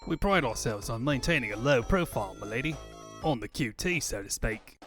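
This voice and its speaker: Man doing cocky Australian secret agent, flirty, suave, slangy, cartoon fandub, middle pitch, music behind. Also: expressive, a little fast, fairly bright tone, natural voice. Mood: smug, charming. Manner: suave, slangy, formal flourishes.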